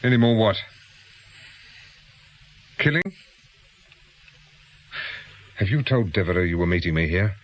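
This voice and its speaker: A man's voice: sits low, expressive, a little slow, pauses often. An actor playing a blunt, probing ex-policeman in a radio drama, with terse questions and plain colloquial speech. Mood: accusing. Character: blunt, probing ex-policeman turned amateur investigator. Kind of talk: naturalistic radio drama acting.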